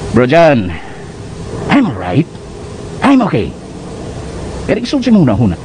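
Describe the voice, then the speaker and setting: A man's voice: on the high side, huge pitch swings, noisy background. An actor playing a breezy, teasing, dismissive buddy in a radio drama, drawing out his words with English slang and a Filipino accent. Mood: breezy, reassuring. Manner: drawn-out, mixes in English slang.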